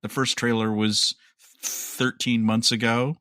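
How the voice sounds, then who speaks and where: A man's voice: mid-range voice, flat delivery, takes it slowly, pushed and bright, dry recording. A man co-hosting a podcast, casually discussing news and speculating in a conversational way. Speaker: podcast host.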